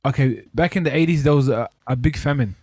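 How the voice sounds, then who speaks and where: A man from Ethiopia hosting a casual podcast chat, explaining in halting stretches with many restarts. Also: mid-range voice, a little mellow, husky, dry recording. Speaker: podcast host.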